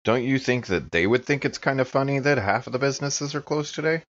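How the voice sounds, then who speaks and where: A man's voice: mid-range voice, fairly quick, muffled recording. A man playing a tabletop game, talking casually and improvising as his character.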